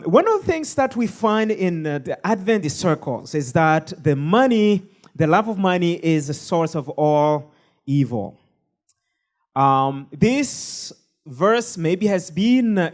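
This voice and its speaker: A man's voice: on the high side, darkish tone. Man moderating a panel, hesitant. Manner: hesitant, many fillers.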